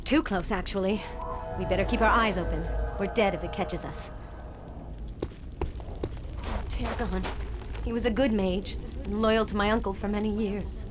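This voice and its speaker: A woman's voice: mid-range voice, fairly even intonation, moderate pace, natural voice. An actress playing an earnest, commanding young noblewoman mage in a fantasy video game. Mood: sober, relieved. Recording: game music behind the voice.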